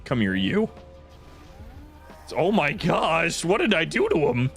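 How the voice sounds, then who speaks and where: A man's voice: on the high side, sing-song, slow pace, fairly bright tone. Casual male game streamer over music. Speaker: stream host playing a modded shooter game. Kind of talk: casual gameplay stream chat. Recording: music behind the voice.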